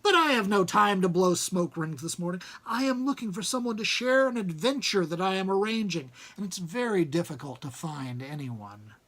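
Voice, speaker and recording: A man voicing an old wandering wizard in a storybook, testy and pedantic, with a deliberate questioning manner. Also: sits high, animated, fairly bright tone. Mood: brisk.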